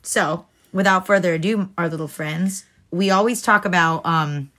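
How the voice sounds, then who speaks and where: A woman's voice: lowish voice, moderate pace, a bit bright. A young woman co-hosting a podcast, playful and goofy in casual chat. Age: young adult. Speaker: podcast co-host.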